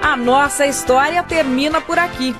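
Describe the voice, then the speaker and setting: A female narrator with a Brazilian accent reads a scripted radio drama. Her voice sits fairly high, with music clearly playing behind it. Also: normal speed.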